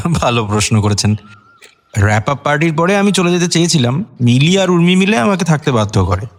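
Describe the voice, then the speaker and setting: A self-assured young poet, highish voice. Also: male voice, big pitch swings, a little fast. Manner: articulate, self-possessed, mixes in English words. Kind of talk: radio drama acting.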